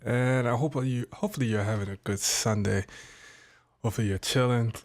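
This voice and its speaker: A man hosting a casual live stream about his streaming gear, sounding a little nasal from a cold, chatty and relaxed, pausing now and then to handle equipment. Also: mid-range voice. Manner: laid-back, chatty, pauses to fiddle with gear. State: has a cold, a bit nasal. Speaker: tech streamer. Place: home streaming setup, indoors. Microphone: studio mic on desk.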